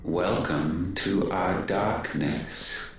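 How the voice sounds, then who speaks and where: Very slow, deep godlike being. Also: male voice. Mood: solemn, ominous. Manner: solemn, archaic, pompous phrasing. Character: ominous godlike male being, ancient, grave and judging. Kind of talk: dramatic fantasy game voice acting. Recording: echoing voice with faint noise.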